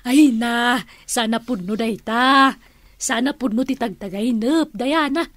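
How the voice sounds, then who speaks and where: Slow, high-voiced flustered aunt. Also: female voice, a bit bright, no echo. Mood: wistful. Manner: coy, giggling, exclaiming. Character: flustered, giggly aunt dreaming of riches. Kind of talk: radio drama acting.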